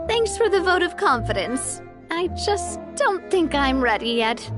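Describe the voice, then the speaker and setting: Breezy young lady boss in anime game, high lively voice, teasing, confident. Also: heavily acted. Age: young adult. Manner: breezy, teasing, self-deprecating. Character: confident, playful young woman leading a town organization. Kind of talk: anime-style video game voice acting.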